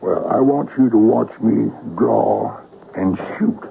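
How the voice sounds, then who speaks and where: An actor playing a brooding, insecure western gunslinger in a radio drama, with a gravelly voice and a halting, insistent manner. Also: male voice, fairly low pitch, huge pitch swings, heavily acted. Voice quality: gravelly, creaky. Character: brooding, insecure western gunslinger testing his fast draw. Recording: narrow tinny radio broadcast, faint hiss.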